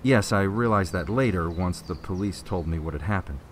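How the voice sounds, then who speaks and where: Man acting low-voiced, defensive suspect. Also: moderate pace, slight background noise, lightly acted. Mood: guarded. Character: defensive male suspect, plainspoken and uneasy. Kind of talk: radio drama dialogue.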